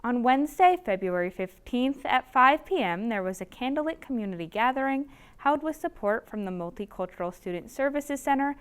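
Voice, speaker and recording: A young woman anchoring a student newscast with a bright, upbeat read. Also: on the high side, lively intonation, brisk, smooth. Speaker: news anchor.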